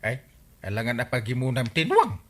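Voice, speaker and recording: A man's voice: medium pitch, brisk. A man with a Filipino accent playing a playful, sentimental village captain and husband in a radio comedy drama. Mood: excitable. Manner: exclaiming, chuckling, excitable.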